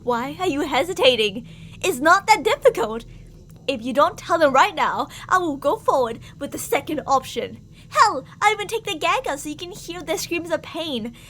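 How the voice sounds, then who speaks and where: A woman's voice: high voice, animated, moderate pace, a bit bright. A woman playing an obsessively jealous, murderous stalker lover in an ASMR roleplay, theatrical and possessive, swinging between coaxing and menace. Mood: impatient, threatening. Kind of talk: ASMR girlfriend roleplay acting. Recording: audible background noise.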